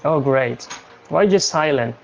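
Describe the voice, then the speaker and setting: A young man from Indonesia hosting a casual livestream chat, talking in a halting way with many fillers and restarts. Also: fairly high pitch, creaky, a little hiss or hum, low-quality recording. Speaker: livestream host.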